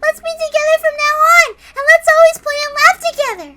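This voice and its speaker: A woman's voice: very high-pitched, flat delivery, quick pace, bright, forceful tone, voice pushed up. A woman voicing a cheerful, innocent young girl with a gushing, childlike manner, reading a visual novel in character. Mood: gushing affection.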